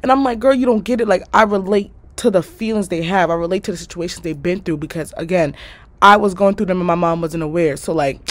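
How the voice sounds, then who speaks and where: A nineteen-year-old woman telling a casual storytime vlog in her bedroom, animated and slangy, jumping from loud bursts to low muttered asides. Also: fast talker. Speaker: vlogger. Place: small bedroom, indoors.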